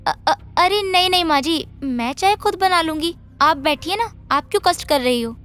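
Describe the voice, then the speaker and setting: Woman acting a high, meek young daughter-in-law. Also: lively intonation, normal speed, soft background music. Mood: eager to please. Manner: polite, deferential, gentle. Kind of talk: Hindi moral story voice acting.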